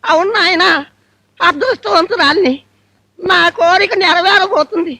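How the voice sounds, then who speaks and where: A deaf, quavering ancient bride. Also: female voice, very high voice, a little slow, pushed and bright, no room sound, full character voice. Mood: bashful. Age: older. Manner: mishears everything, wails dramatically. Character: deaf, frail ancient spinster bride, shy.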